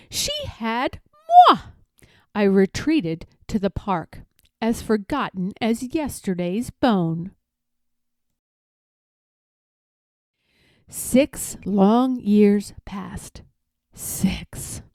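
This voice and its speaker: A woman voicing a vain, indignant pampered palace dog, telling a storybook tale theatrically with dramatic pauses. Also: on the high side, darkish tone, no room sound, clean studio sound. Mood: forlorn. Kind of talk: expressive storybook read-aloud.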